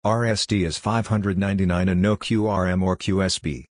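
A man reading short scripted practice phrases as a lesson narrator, in a measured, clearly enunciated way. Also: low voice, steady tone, dry recording. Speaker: Morse code practice narrator. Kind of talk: scripted phrase read-out for language drill.

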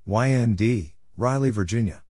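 A man reading out practice exchanges as a narrator, in a measured way, spelling out letters one at a time. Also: on the low side, warm tone, slight background noise. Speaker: Morse code practice narrator.

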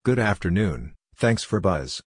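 A man reading short scripted practice phrases as a narrator, in a deliberate, clipped, phrase-by-phrase way. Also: low voice, sing-song, slightly rough, no echo, studio quality.

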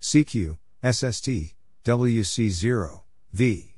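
A man reading out radio call signs and short exchanges letter by letter in clipped, measured phrases, as a scripted announcer. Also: medium pitch, animated, darkish tone, slightly rough. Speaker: Morse code practice announcer.

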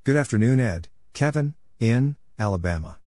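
A man reading out short scripted phrases as a practice narrator, deliberately spelling things letter by letter. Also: mid-range voice, animated, darkish tone, a little hiss or hum. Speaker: Morse code practice narrator.

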